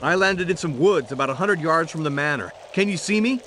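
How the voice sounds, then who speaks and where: A man's voice: fairly high pitch, animated, a little fast, background noise, close to an everyday voice. An actor playing a determined, protective male investigator in a video game, speaking in a firm, terse and reassuring way. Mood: calm, reassuring. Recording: music behind the voice.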